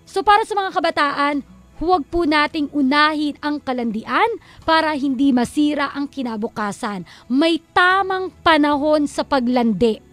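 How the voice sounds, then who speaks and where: A high-voiced Filipino woman co-hosts a radio advice show over soft background music. She talks in a colloquial, conversational style. Her delivery is emphatic and admonishing. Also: normal speed. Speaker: radio co-host. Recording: music playing behind the voice.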